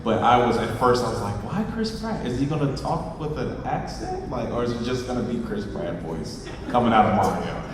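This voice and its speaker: A man's voice: mid-range voice, expressive, a little fast, few pauses. Casual man co-hosting in a reverberant hall. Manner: informal, slangy. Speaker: panel co-host. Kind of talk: casual bantering panel chat. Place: large convention panel room with audience. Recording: echoey hall, distant mic, audience noise.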